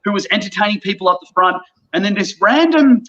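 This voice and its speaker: A man's voice: sits high, fast, lo-fi sound. A man in his thirties telling a story in casual chat, acting out the people in it. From Australia. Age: 30s. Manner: animated, acts out voices in his stories. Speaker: podcast guest.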